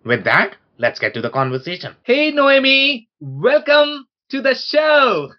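A man's voice: very high-pitched, sing-song, unhurried, lo-fi sound. A man hosting a conversational interview show in an enthusiastic, welcoming manner. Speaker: podcast host.

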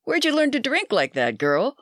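A narrator voicing a loud, crude, pushy middle-aged barfly in a dry recording. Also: high-pitched, huge pitch swings, moderate pace, no echo, pitched above the performer's own voice, exaggerated voice. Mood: brash.

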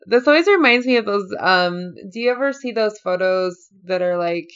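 A woman's voice: mid-range voice, animated, normal speed, fairly bright tone, clean tone, lo-fi sound. A woman co-hosting a podcast in casual chat, reading prompts aloud, laughing often and trailing off with fillers. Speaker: podcast co-host.

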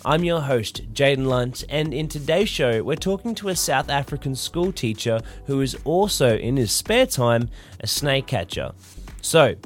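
A young adult man hosting a podcast, asking friendly questions that are full of uhs and ums. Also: mid-range voice. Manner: friendly, filler-heavy questions. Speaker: podcast host. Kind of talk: casual podcast interview.

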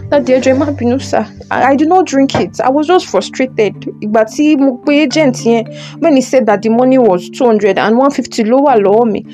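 High-voiced Nigerian young mother, acted by a woman. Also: fairly quick, dark tone, soft background music, natural voice. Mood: pleading. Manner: deferential, repetitive, pleading. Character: distressed, grateful young mother, pleading daughter. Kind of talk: audio drama acting.

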